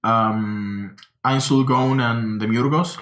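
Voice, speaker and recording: Man, non-native english speaker, mid pitch, echoey room, casual chatty podcast talk, swears. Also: slow pace, a bit bright. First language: not English. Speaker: podcast co-host. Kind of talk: casual chat.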